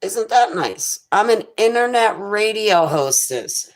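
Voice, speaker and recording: A woman with a raspy voice chats on her live stream in a loose, wandering way. She takes her time over each thought. Her manner is unhurried and conversational. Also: medium pitch, animated. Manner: rambling, faith-filled musings. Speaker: internet radio host. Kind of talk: casual livestream chat.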